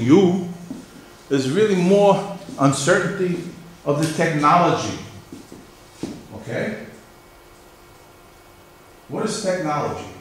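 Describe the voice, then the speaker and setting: Middle-aged male professor, New York accent, chatty lecture style, asks the class questions, recorded far off in a very echoey room. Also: on the high side. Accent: New York area American. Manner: conversational, questions the class and answers himself. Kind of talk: university lecture. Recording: very echoey room, mic far off, faint hiss.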